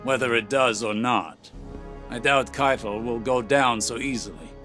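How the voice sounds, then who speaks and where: An actor playing an aloof male mentor who speaks in a measured, commanding way. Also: mid-range voice, over music, natural voice. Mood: urgent. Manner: measured, cool, stern. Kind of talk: video game cutscene dialogue. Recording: game score behind.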